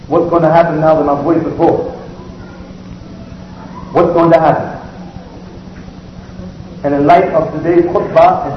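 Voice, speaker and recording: A man giving a holiday sermon as imam in a large civic center hall, preaching in a measured way with repeated phrases. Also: a little high. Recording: echoey hall, muffled, faint hiss.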